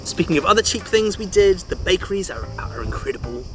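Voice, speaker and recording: Higher-voiced man vlogging over loud music. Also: big pitch swings, fairly quick. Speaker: travel vlogger. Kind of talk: casual travel vlog chat to camera.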